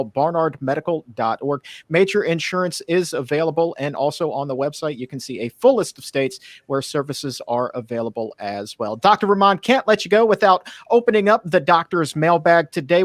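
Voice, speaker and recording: Chatty guy hosting a show, kinda high voice, real dry sound. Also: no echo. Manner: enthusiastic, chatty. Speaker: show host. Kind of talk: upbeat talk show hosting.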